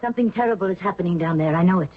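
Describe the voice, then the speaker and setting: A woman's voice: lowish voice, racing, narrow, tinny audio, slight background noise, natural voice. An actress playing an imperious, anguished young queen of ancient Egypt in 1970s radio drama style. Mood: alarmed. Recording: thin, band-limited vintage radio recording.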